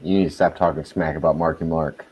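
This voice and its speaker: A man's voice: extremely low pitch, fairly even intonation, fast talker, dull audio, very noisy. A man co-hosting a casual live chat, teasing the others and laughing often.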